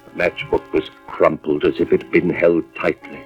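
A man playing a grave, suspicious husband and fire investigator in a mid-century radio drama, with deliberate, dramatic delivery. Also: low voice, level tone, close to an everyday voice. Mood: brooding, intense. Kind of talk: 1940s-50s radio drama acting, first-person narration. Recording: muffled vintage radio recording with music behind.

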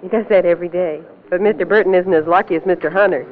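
An actress playing a chatty, plain-spoken small-town waitress in a 1940s radio drama. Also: female voice, lowish voice, fast talker, lightly acted. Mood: chatty, confiding. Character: chatty small-town lunch-counter waitress, knows the regulars. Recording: tinny old radio broadcast, crowd behind.

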